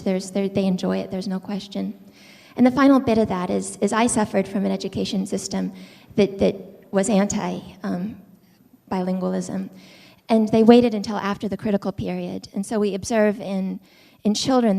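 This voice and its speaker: A woman's voice: medium pitch, vocal fry, mellow. A woman on a conference panel speaking thoughtfully, with frequent restarts and fillers. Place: lecture hall. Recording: long hall reverb.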